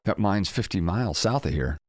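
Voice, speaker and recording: Male actor for a stubborn ranch hand: gruff, low, terse, expressive swings, close dry sound, audio drama. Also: a little fast, no echo. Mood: grumbling. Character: stoic, grumbling cowboy, proud and stubborn.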